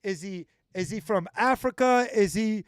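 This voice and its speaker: A man's voice: high-pitched, unhurried, no echo, clean studio sound. A man hosting a livestream interview, talking emphatically and repeating phrases for stress. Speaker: livestream host.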